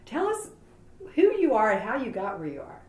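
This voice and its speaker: A woman's voice: fairly high pitch, wildly swooping pitch, normal speed. A woman hosting an interview, effusive and enthusiastic, heaping praise on her guest. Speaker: interview host. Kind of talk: casual interview chat. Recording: echoey room.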